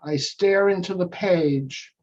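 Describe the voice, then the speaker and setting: Guy reading a guided meditation real slow, lots of pauses, kinda muffled lo-fi sound. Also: high-pitched, darkish tone. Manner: measured, short phrases with long pauses. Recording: dull lo-fi audio.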